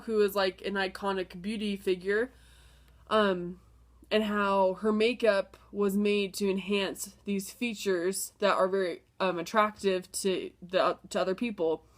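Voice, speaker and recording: A young woman with a stuffed-up nose from a cold, casually talking through a lesson plan with many fillers and restarts. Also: medium pitch. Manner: chatty, filler-heavy, apologetic restarts. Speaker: student presenting a lesson plan. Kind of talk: casual spoken presentation.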